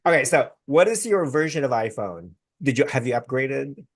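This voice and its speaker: An effusive male host chatting over a video call, his pitch swinging widely as he talks. The sound is dry, with almost no room around it. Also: lively intonation, dry recording. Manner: effusive, quick to praise and laugh. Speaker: interview host. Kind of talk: casual interview chat.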